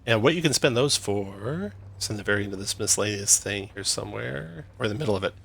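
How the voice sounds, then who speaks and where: A man acting as game master, narrating a tabletop game in a relaxed, explaining way with fillers. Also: on the low side, fast talker, creaky, husky.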